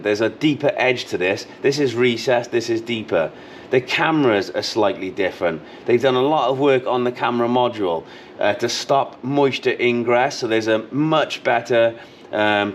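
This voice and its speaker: A man in his thirties giving a casual, explanatory how-to product demonstration, repeating key points as he goes. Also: on the low side. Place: showroom with intercom display wall, indoors. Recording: clearly noisy room background.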